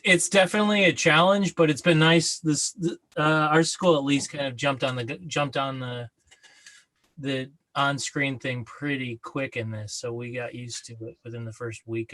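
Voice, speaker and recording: A man's voice: mid-range voice, pushed and bright, low-quality recording. A man in casual conversation on a video call, talking loosely and repeating his phrases. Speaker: drama teacher and comedy troupe member. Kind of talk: casual chat. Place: remote group video call.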